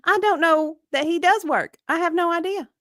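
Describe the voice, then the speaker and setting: A woman's voice: very high-pitched, normal speed, a bit bright, no room sound, studio quality. A woman acting the part of an evasive, defensive victim advocate under deposition, hesitant and clipped in a dramatic reading.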